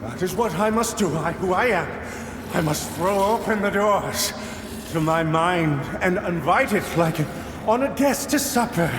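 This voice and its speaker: An actor playing a mad, rapturous zealot in a video game, raving theatrically in archaic riddles. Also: male voice, high-pitched, animated, unhurried, noisy background. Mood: brooding, rapt. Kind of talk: video game dramatic acting. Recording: echoey hall with long reverb, music.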